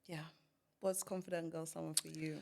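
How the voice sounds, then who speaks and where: A woman hosting a podcast interview, bubbly and quick to laugh and affirm. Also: low-pitched, flat delivery, vocal fry, a little mellow. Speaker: podcast host. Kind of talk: casual interview.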